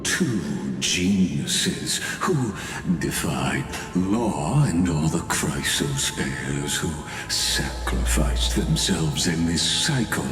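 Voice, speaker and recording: Very deep, slow, condescending villain. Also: male voice, exaggerated voice. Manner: slow, theatrical, mocking, with deliberate dramatic pauses. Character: calm, condescending male imprisoned villain, ancient scheming mastermind. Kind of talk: dramatic video game villain acting. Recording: echoey long reverb, music underneath.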